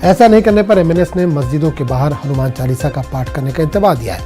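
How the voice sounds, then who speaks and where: Guy anchoring the news, soft dark tone, bit high pitched, faint music under him. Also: fast. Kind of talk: scripted news reading and questioning of a reporter.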